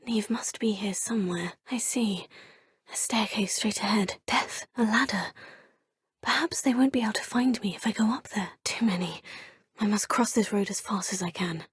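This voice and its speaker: Young woman, game hero. Earnest, determined, slightly high, husky tone, dry close studio sound. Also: no room sound. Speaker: player character voice clips. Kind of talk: game voice acting. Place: recording studio.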